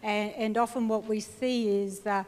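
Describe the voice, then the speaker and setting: A woman executive speaking at an average pitch in a measured, businesslike manner. Her voice comes through a PA into a large hall with a long reverb. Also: steady tone, darkish tone. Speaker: panelist, chief information officer. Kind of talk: conference panel talk.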